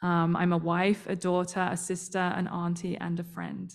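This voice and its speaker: A woman, a scientific director presenting slides on a video call in a friendly, measured way with frequent ums. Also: fairly low pitch, completely flat delivery. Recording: roomy reverb.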